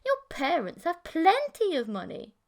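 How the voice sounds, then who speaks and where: Audiobook creature role: woman voicing coy, pouty monstrous girlfriend, very animated high voice, demanding. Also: a little slow, full character voice. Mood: pouting, pleading. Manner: pouting, wheedling, bossy.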